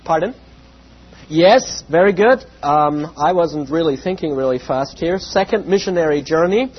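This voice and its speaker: A man with a slightly raspy voice giving an evening Bible lesson, measured and full of "uh" fillers, with a reverent tone when he prays. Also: fairly high pitch. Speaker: Bible teacher. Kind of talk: evening Bible lecture with prayer. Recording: dull lo-fi with faint hum.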